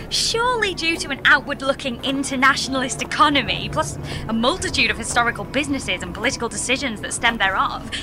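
A woman playing a bewildered, sardonic space traveller in a comedy audio drama, questioning everything with dry sarcasm. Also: high voice.

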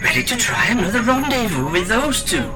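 A man's voice: high-pitched, sing-song, fairly quick, loud music behind the voice. A man voicing an eager, pompous space pod with a theatrical manner in a comedy sci-fi audio drama. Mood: eager, self-important. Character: eager, exasperated space pod chasing its quarry.

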